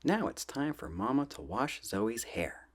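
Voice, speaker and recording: A soft-spoken man reading children's books aloud in a gentle, playful ASMR style, often dropping close to a whisper. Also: mid-range voice, very animated. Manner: gentle, drawn-out, playful storybook delivery.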